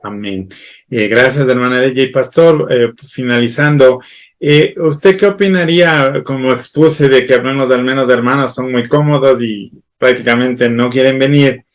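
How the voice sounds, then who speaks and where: Courteous man hosting a panel. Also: medium pitch. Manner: courteous, halting with fillers and restarts. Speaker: host. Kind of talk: moderating a church panel discussion.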